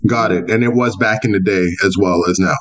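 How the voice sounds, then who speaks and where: A man with a lowish voice hosts a podcast interview. He talks casually and playfully, telling stories with plenty of fillers. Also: a little fast. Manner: playful storytelling, lots of fillers. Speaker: podcast host.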